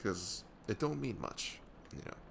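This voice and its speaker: A young man playing a caring, reassuring best friend in an intimate ASMR roleplay, soft-spoken and earnest, with audible noise in the recording. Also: lowish voice, expressive, a little slow, full character voice. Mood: sympathetic, candid. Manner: soft-spoken, often breathy, hesitant, earnest. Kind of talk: ASMR roleplay acting, intimate casual talk.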